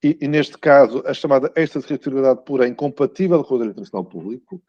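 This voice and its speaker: A man with a European Portuguese accent giving a formal, courteous academic talk over a video call, often halting and repeating words. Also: medium pitch, a little mellow, no room sound. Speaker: academic guest speaker giving a lecture. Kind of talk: formal conference talk, semi-scripted. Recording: muffled, dull video-call audio.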